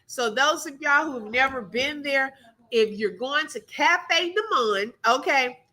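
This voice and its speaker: Woman, Texas accent, live stream host, chatty, laughing, bright voice, slightly high. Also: expressive, a little slow. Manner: chatty and laughing, calls viewers baby and girl. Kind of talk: casual live chat, reading viewer comments.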